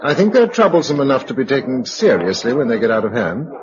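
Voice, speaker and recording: Man acting a calm sensible british survivor guy, radio play, dull sound with noise behind. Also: mid-range voice, quick pace, lightly acted. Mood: earnest, calm. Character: level-headed, serious male survivor in a collapsed world. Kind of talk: radio drama dialogue. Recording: muffled radio recording, clear background noise.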